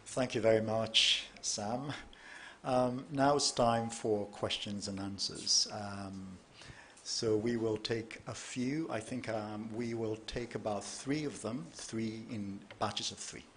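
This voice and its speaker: A man moderating a conference Q&A, speaking in a measured way with frequent ums. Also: on the low side, steady tone, a bit bright, slight background noise.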